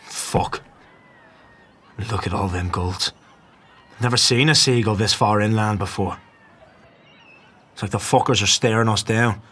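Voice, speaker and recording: A man playing a cocky, foul-mouthed, impatient gang boss in a dark fantasy audio drama, with gruff, swaggering delivery. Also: fairly low pitch, fairly even intonation, unhurried, pauses often. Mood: alarmed, barking. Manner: swaggering, gruff commands and coarse swearing.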